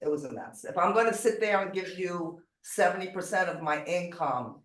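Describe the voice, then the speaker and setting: A woman's voice: lowish voice, normal speed. An older woman in her sixties speaking casually and bluntly to a group, repeating her points for emphasis. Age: older, 60s. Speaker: real estate agent. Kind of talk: casual self-introduction to a group. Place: indoor group meeting room. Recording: echoey room, distant microphone.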